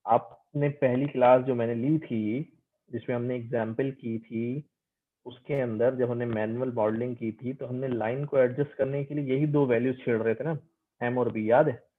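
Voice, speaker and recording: A man's voice: mid-range voice, steady tone, moderate pace, phone-quality sound. A man giving an explanatory lecture as a teacher, conversational, mixing Hindi-Urdu with English and checking understanding with questions.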